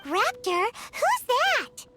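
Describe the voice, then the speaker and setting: A woman voicing a tiny, cheeky, childlike fairy companion in an anime-style video game, squeaky and exclamatory. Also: huge pitch swings, extremely slow, exaggerated voice. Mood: startled, curious. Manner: exclamatory, refers to self by name. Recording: music behind the voice.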